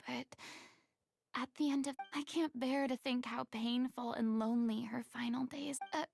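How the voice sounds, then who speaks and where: A woman's voice: fairly high pitch, fairly even intonation, moderate pace, vocal fry, a little mellow. A woman playing an earnest, compassionate young investigator in a video game, speaking thoughtfully. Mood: somber.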